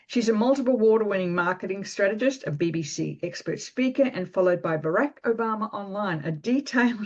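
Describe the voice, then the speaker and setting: Lower-voiced woman host, upbeat and chatty, muffled recording. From Canada. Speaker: podcast host. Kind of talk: podcast interview.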